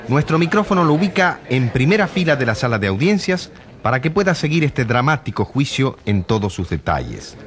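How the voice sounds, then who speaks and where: A man's voice: mid-range voice, sing-song, brisk, lightly acted. A man playing a solemn radio announcer reporting a trial, declamatory and dramatic. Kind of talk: dramatic radio courtroom commentary.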